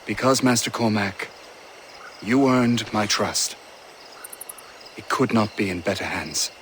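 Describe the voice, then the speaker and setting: An actor playing a commanding, measured colonel in a video game, speaking formally and deliberately. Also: male voice, on the low side, fairly bright tone, slight background noise. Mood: earnest, resolute. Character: commanding colonel, measured, trusting senior officer of the order. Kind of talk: video game cutscene acting.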